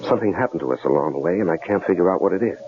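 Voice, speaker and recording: A very deep, earnest radio-drama husband. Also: male voice, lively intonation. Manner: halting, sincere. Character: earnest, gentle husband, troubled and devoted. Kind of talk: 1970s radio drama acting. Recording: dull, noisy old radio broadcast.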